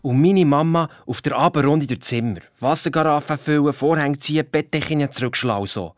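An actor playing an excitable young boy and amateur detective with a Swiss German accent, telling his story colloquially in a children's radio play. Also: medium pitch, moderate pace, dry recording, clean studio sound, lightly acted. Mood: excited, secretive. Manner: colloquial, eager, defensive when challenged. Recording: music behind the voice.

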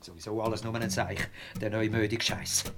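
A man's voice: lowish voice, steady tone, a little fast, background noise, lightly acted. An actor playing a crude, hot-headed middle-aged male office worker in a radio drama, blustering and cursing. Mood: scornful, irritated.

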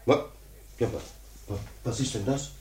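An actor playing an indignant, hot-tempered father who sputters and shouts in a 1950s comic radio play. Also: medium pitch, moderate pace, natural voice. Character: blustering hot-tempered father, squabbling over his young son. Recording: roomy, distant 1950s radio recording.

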